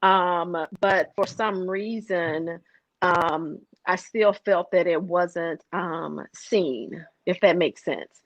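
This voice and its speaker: A woman guest with a soft voice, talking in a hesitant conversational way with many fillers and restarts. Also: lowish voice, very slow, dry recording, poor audio. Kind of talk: conversational interview.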